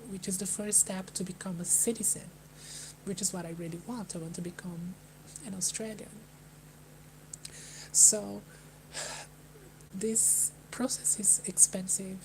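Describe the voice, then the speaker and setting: Halting Brazilian woman, flat delivery. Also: mid-range voice, noisy background. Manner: hesitant, halting, with many restarts and sighs. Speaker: livestreamer. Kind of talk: personal talk to a live chat. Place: Melbourne, indoors.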